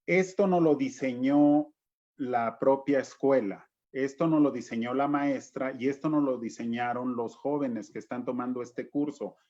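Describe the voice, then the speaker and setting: A man's voice: medium pitch, darkish tone. A man with a Mexican accent talking reflectively and anecdotally on an informal panel, as a cultural director. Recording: dull lo-fi video-call audio.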